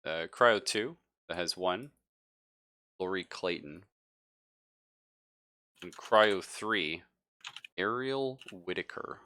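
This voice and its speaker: Low, bright-voiced man running a tabletop game. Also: slow pace, pauses often. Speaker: game master. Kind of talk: improvised narration of a tabletop horror game.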